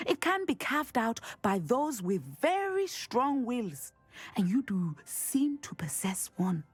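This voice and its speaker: A woman voicing a wise, composed professor of divination in a video game, speaking in a measured, formal and deliberate way. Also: mid-range voice, darkish tone, husky, no room sound, heavily acted.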